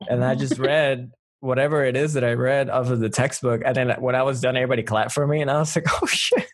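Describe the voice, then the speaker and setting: A man's voice: medium pitch, fairly even intonation, fast talker. A man in his twenties from Mexico, co-hosting a casual podcast chat and telling stories with a joking, rambling manner. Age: 20s. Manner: joking storyteller, many likes. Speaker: podcast co-host.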